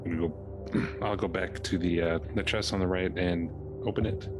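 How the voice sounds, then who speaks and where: A man's voice: deep voice, steady tone, normal speed, over music. A man playing a tabletop game, casually saying what his character does.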